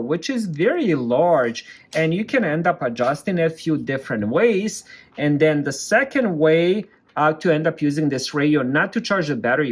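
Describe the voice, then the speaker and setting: A man giving a casual hands-on product review, explaining things plainly step by step. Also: a little high.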